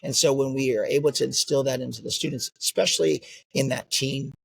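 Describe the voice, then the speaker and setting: A man's voice: medium pitch, steady tone, fairly quick, creaky, slightly rough, dry recording. A man who runs a martial arts school, talking earnestly in an interview and often tagging his points with "right?". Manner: earnest, repeats "right?" as a tag. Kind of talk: interview conversation.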